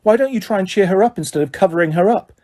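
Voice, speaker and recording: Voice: medium pitch, racing, warm tone, voice pushed up, close to an everyday voice. A reader voicing a stern, principled teenage schoolgirl who speaks severely. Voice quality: crisp, severe. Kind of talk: audiobook character voice.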